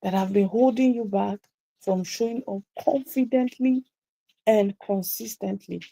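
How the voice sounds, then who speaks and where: A woman's voice: medium pitch, a little slow, creaky, warm tone, no echo, low-quality recording. A woman coaching a group through a motivational teaching session, speaking emphatically and repeating key phrases for stress. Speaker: visibility coach.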